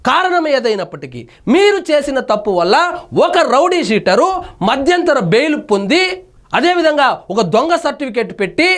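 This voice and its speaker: High-pitched man, indignant news commentator. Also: a bit bright. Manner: indignant, emphatic, rhetorical questioning. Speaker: news analyst and presenter. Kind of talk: opinionated news commentary, reading out reports and commenting.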